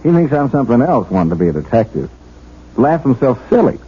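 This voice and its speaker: Eager young policeman, American accent, lively swinging intonation, halting informal speech, dull vintage radio sound. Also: mid-range voice, breathy edge. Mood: sheepish, eager. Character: eager, bashful, kindly young rookie policeman. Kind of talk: 1970s American radio drama acting. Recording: muffled vintage radio broadcast.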